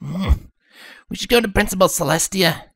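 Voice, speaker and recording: A man voicing a brash, hot-headed sporty teenage girl who barks her lines loudly. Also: high voice, expressive, normal speed, no room sound, pitched above the performer's own voice, heavily acted. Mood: urging. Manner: barking, loud.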